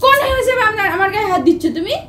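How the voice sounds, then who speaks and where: Young wife in a domestic row: high-pitched, agitated, scolding delivery, recorded in a reverberant room. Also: female voice, animated, very fast, pushed and bright. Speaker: wife in a family prank video. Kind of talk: heated domestic argument. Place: indoor room at home. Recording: echoey room, faint background noise.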